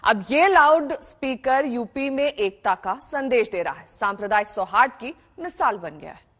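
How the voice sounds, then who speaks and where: A woman's voice: a little high, animated, normal speed, a bit bright. A woman opening a news segment with punchy, emphatic headline lines. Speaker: news anchor. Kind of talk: dramatic news intro. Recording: long hall reverb.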